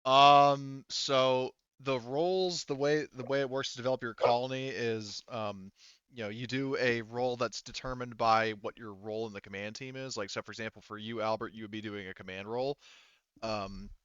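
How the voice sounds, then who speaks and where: A man's voice: medium pitch, fairly even intonation, normal speed, fairly bright tone, clear, resonant tone, dry recording, dull audio. A man acting as game master, casually talking through tabletop game rules in a rambling, backtracking way.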